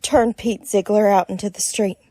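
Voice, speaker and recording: A woman playing an anxious frontier townswoman in a Western radio drama, halting and pleading. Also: mid-range voice, steady tone, warm tone, no echo, lightly acted.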